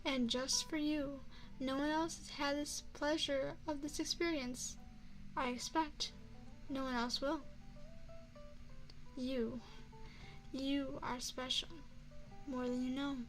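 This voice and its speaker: A woman voicing a courteous female vampire chef and restaurant owner in an ASMR roleplay, speaking in a formal, measured, refined way. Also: sits high, fairly even intonation, faint music behind, rough recording. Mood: proud, intimate. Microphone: binaural microphone.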